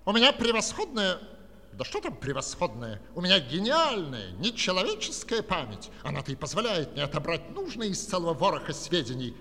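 An actor playing a proud, playful talking automaton with a theatrical, declamatory manner in a Soviet children's audio play. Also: male voice, high-pitched, wildly swooping pitch, fairly quick, sharp tone, full character voice. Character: boastful, theatrical talking computer automaton, lively showman. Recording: 1960s studio recording, long reverb, music.

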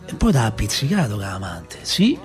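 A man's voice: fairly low pitch, normal speed, faint music behind. An actor playing a chatty Roman father who tells city tales in a folksy, rambling way, with a Roman accent. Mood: amused gossip. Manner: animated, folksy, rambling, rhetorical. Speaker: actor in a radio theatre monologue. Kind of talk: colloquial dramatic storytelling.

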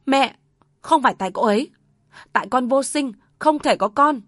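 A woman's voice: high-pitched, normal speed, no echo, clean studio sound, voice pushed up. A woman voicing a young husband who speaks earnestly and firmly in defense of his wife. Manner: earnest, pleading then firm. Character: young husband, calm but firm, protective.

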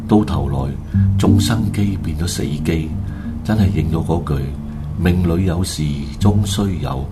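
A man's voice: low-pitched, measured pace, over loud music. A man with a Hong Kong Cantonese accent narrating a scripted radio drama story.

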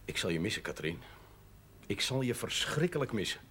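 Gruffly sentimental gentleman, animated pitch. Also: a little fast. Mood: wistful. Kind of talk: naturalistic 1970s Dutch television drama acting. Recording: slightly noisy old TV soundtrack.

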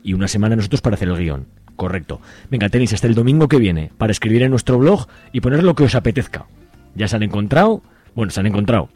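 Low-voiced Spanish man presenting casually. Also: lively intonation, quick pace, mellow, faint music behind. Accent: Spain. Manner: colloquial, uses tag questions. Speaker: radio show presenter and serial team member. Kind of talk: casual explaining to listeners.

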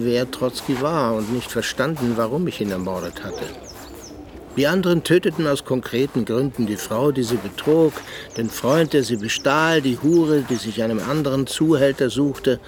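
An actor playing a disillusioned assassin and former prisoner in a radio drama, speaking in an earnest, brooding way. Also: male voice, medium pitch, slight background noise, natural voice. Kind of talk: German radio drama acting.

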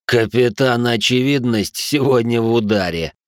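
An actor playing a blunt, mocking American cop of about forty in an audiobook. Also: male voice, medium pitch, normal speed, dry recording, studio quality, lightly acted. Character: gruff bald American cop around forty. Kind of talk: audiobook character voice.

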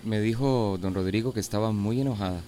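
A man's voice: fairly low pitch, fairly quick, dark tone, close to an everyday voice. An actor with a Central American accent playing a placating man in charge of village works in a radio drama.

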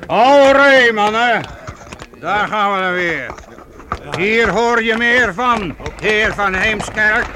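An actor playing a proud, defiant master weaver who leads armed townsmen in a medieval radio play, assured and paternal. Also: male voice, high voice, slow, noisy background. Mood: confident, dismissive. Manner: assured, explanatory, addresses youngsters paternally.

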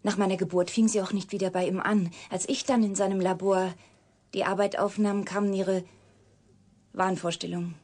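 An actress playing a reclusive, wounded young woman scientist in a radio drama, guarded yet confiding, speaking breathily and deliberately, often sinking to a whisper. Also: mid-range voice, level tone, a little mellow, lightly acted. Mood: sorrowful, intimate. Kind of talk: German radio drama acting.

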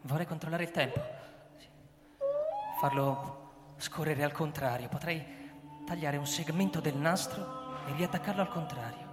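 A man's voice: fairly high pitch, measured pace, long pauses, close to an everyday voice. An actor playing an anxious, obsessive man in a radio drama, hesitant and stammering, repeating his words. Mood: musing, determined. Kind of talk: theatrical radio drama acting. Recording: echoey hall with loud music behind. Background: music.

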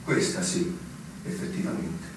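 An actor playing an ageing, ailing army captain who is weary and stubborn, in a brooding theatrical radio drama style. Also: male voice, natural voice. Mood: tired, formal. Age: middle-aged. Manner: brooding, halting, swelling to impassioned pleading. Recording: very echoey, distant, noisy stage recording.

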